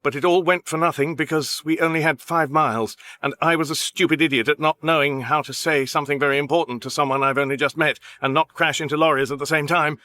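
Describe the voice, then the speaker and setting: An actor playing an awkward, flustered Englishman in love in a radio comedy, halting, earnest and exasperated. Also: on the high side, steady tone, pushed and bright. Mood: earnest, nervous. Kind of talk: radio comedy drama acting.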